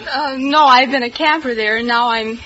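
A woman's voice: high voice, moderate pace, a bit bright. An eighteen-year-old woman giving hesitant, unscripted answers as a quiz show contestant. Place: broadcast studio with live audience. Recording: old radio recording, muffled, very noisy, audience.

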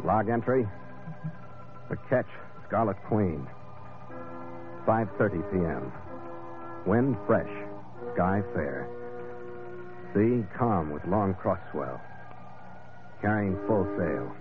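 An actor playing a hearty, wry sea skipper and reflective storyteller in an old-time radio adventure drama. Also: male voice, low voice, animated, slow, long pauses. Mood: measured, formal. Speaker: lead actor and narrator. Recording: muffled vintage radio broadcast with loud music.

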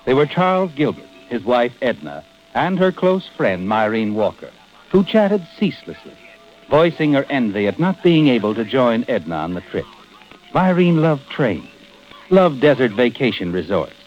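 An actor playing a sinister, all-knowing narrator, ominous and insinuating, in 1940s radio mystery style. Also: male voice, medium pitch, big pitch swings, normal speed, a little mellow, close to an everyday voice. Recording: dull old radio recording, music behind.

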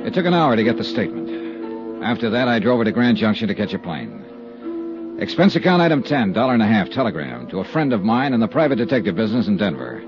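An actor playing a hard-boiled, persistent American insurance investigator in a 1950s radio drama, clipped and matter-of-fact. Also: male voice, on the low side, big pitch swings, a little fast, over music. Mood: businesslike narration. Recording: dull vintage 1950s radio recording.

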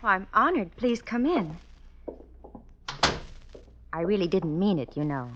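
Coy, flattering radio-drama lady. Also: mid-range voice, a little slow, background noise. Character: coy, flattering, devoted lady friend of a doctor. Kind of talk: classic radio drama acting. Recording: dull old radio recording.

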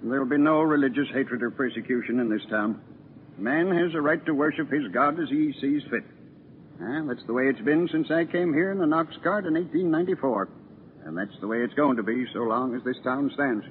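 An actor playing an upright, stubborn old prairie mayor, defiant and plain-spoken, in a 1940s radio drama. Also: male voice, mid-range voice, fairly quick, natural voice. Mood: resolute. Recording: tinny, noisy 1940s radio recording.

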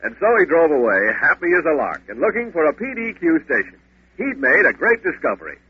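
A man's voice: a little high, very animated, fairly quick, slightly rough, no room sound. A male radio announcer telling a sponsor's story in a 1940s style. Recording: dull old radio recording.

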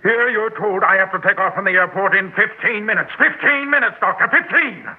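Frantic, high-pitched raging madman, old radio. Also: a little fast, breathy edge. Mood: frantic, demanding. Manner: demanding, repetitive, hysterical outbursts. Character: frantic, raging man breaking down, terrified of growing old. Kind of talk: old-time radio drama acting. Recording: tinny, noisy old radio broadcast.

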